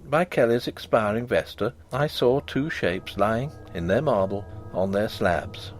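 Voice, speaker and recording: A man's voice: lowish voice, lively intonation, a little slow, soft background music. A man reading a gothic ghost story aloud with dramatic, suspenseful delivery.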